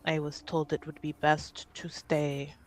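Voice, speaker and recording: A woman's voice: low-pitched, level tone, measured pace, a little hiss or hum. A woman playing a guarded half-elven noblewoman in a tabletop fantasy game, speaking formally and with reserve.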